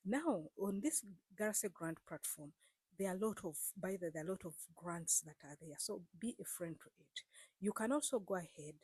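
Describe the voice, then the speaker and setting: A woman's voice: fairly low pitch, animated, normal speed, a little mellow, husky, dry recording. A woman vlogger speaking straight to her viewers in a casual, earnest way, repeating herself and coaxing them with frequent fillers. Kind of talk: casual direct-to-camera explainer.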